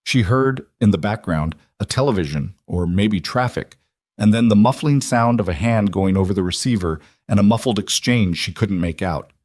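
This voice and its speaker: Low-pitched man narrating dramatic fiction. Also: moderate pace, darkish tone, no echo, studio quality. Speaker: audiobook narrator.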